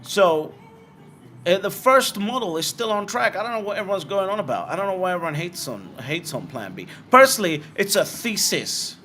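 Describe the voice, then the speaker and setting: A British man hosting a live show and answering viewer comments in a frank, blunt way, firing off quick rhetorical questions. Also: sits high, very animated, bright, forceful tone, faint music behind. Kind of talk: casual livestream commentary.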